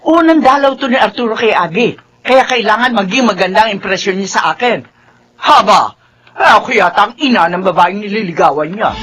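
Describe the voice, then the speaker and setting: A woman's voice: medium pitch, animated, brisk, fairly bright tone, lightly acted. A woman playing a domineering, nagging middle-aged mother with a Filipino accent, melodramatic in radio drama style. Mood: nagging, impatient. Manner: scolding, melodramatic. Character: domineering, nagging twice-widowed mother who favors one daughter.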